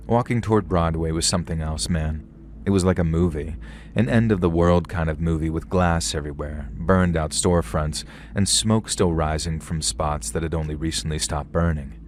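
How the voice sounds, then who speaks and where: A man narrating a story in a calm, steady storytelling manner. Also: a bass voice, slight background noise. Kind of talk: scripted horror story narration.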